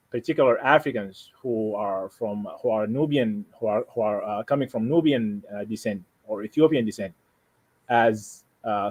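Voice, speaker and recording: A man from Sudan, a native Arabic speaker with a Sudanese Arabic accent, talking casually and haltingly as he explains himself, often repeating and rephrasing. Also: medium pitch, dry recording. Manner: halting, repeats and rephrases, frequent "you know". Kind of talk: casual conversation, explaining personal plans.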